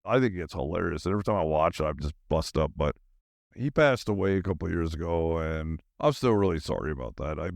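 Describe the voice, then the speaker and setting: Low-voiced middle-aged man rambling casually. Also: expressive, a little fast, vocal fry, no room sound, clean studio sound. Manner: loose, meandering, informal slangy talk. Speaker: podcast host. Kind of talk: rambling casual personal monologue.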